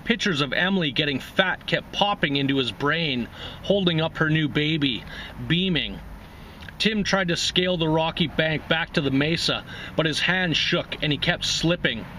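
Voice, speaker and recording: A man reads a short story aloud as host of a reading series. His voice is bright, forceful and slightly high, with faint noise in the background. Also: normal speed, low-quality recording.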